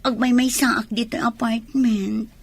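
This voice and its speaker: A woman's voice: a little high, fairly even intonation, a little slow, gravelly, husky, heavily acted. A woman with a Filipino Ilocano accent playing a soldier's clingy sweetheart in a radio drama. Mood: coaxing.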